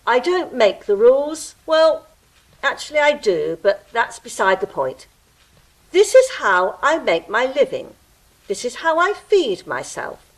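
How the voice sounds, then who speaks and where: A woman's voice: on the high side, sing-song, a little slow, poor audio. A woman playing a fussy, pompous ticket-eating gatekeeper creature in an audio drama, with pedantic, theatrical delivery. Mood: haughty, officious. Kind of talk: stage-style audio drama acting.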